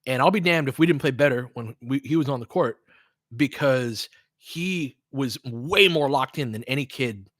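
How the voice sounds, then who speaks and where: A man's voice: medium pitch, a bit bright, no echo, clean studio sound. A man doing casual live basketball commentary as host, chatting with listeners in a conversational, mildly profane way with trailing asides. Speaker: basketball play-by-play host and coach.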